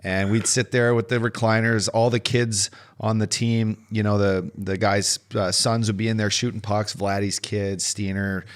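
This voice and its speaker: A man's voice: on the low side, flat delivery, moderate pace, few pauses, smooth. A man, a former pro hockey player co-hosting a podcast in a laid-back, rambling way with casual swearing. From Canada.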